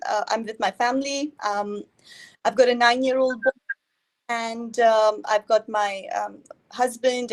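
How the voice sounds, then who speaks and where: Woman, fairly high voice, hesitant, lots of fillers, casual interview, video call. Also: rough recording. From India. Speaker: interview guest.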